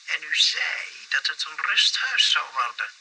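A man's voice: a little high, sing-song, unhurried, sharp tone, slightly rough, exaggerated voice, low-quality recording. An actor playing a grumpy, meddling male neighbour and landlord who complains indignantly in a radio comedy. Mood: grumbling. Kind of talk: Dutch radio comedy drama acting.

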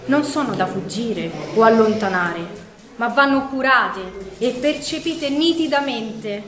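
An actress playing an imprisoned woman, weary, reflective and quietly defiant, in a measured theatrical monologue. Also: fairly high pitch, reverberant. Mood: grave, fearful. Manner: measured, literary, introspective delivery.

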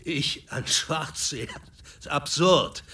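Wheezy, declaiming revolutionary theorist. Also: male voice, on the high side, takes it slowly, pushed and bright, far from the mic. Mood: insistent. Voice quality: wheezy, breathy. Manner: declaiming as if to himself. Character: fat, wheezy ex-prisoner, idealistic revolutionary theorist, self-absorbed. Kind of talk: radio drama acting.

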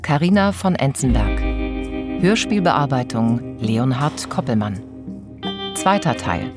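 Very low-voiced woman announcer over music. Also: very animated, takes it slowly. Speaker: radio play announcer. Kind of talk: scripted announcement.

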